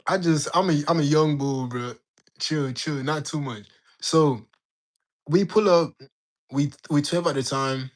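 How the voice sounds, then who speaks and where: A young man in his late teens from Jamaica, telling a story in a slangy, animated way while bantering with his livestream chat. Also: on the high side, measured pace. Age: young adult. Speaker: livestream host. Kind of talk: casual storytelling to live chat.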